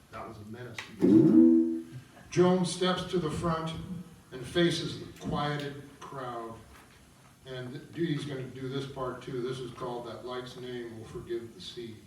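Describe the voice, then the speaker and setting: A man's voice: mid-range voice, a little hiss or hum. A man narrating at a table read, reading stage directions aloud. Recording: echoey room, distant mic, background music.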